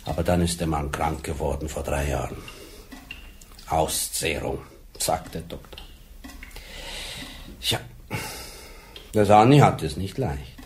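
An actor playing a gruff, blunt older police sergeant with a dry wit. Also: male voice, a bass voice, slow, long pauses, darkish tone, slight background noise, full character voice. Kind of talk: 1980s radio drama acting.

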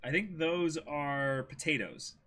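Male co-host, twenties: animated, bright voice with a rough edge, casual joking banter. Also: fairly high pitch, takes it slowly. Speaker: co-host of a manga dramatic reading.

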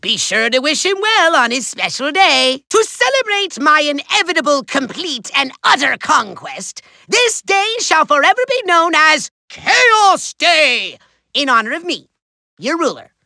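A man voicing a short-tempered megalomaniac villain in a giant robot body for a video game, shrill, raspy and nasal, pompous, bombastic and gloating. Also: very animated, unhurried, sharp tone, no echo, heavily acted. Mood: smug, grandiose. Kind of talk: theatrical cartoon villain acting.

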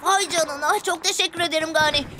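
A woman's voice: very high-pitched, steady tone, brisk, background noise. A woman voicing a sweet, concerned young boy in a children's cartoon, earnest and childlike. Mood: pleading, grateful. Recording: music behind the voice.

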